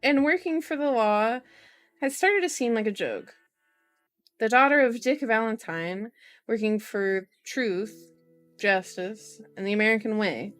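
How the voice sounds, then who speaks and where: A woman's voice: on the high side, a little slow, fairly bright tone, faint music behind. A woman reading a novel aloud in an ASMR style, with informal, giggly asides, as a streamer. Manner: giggly, self-interrupting, informal.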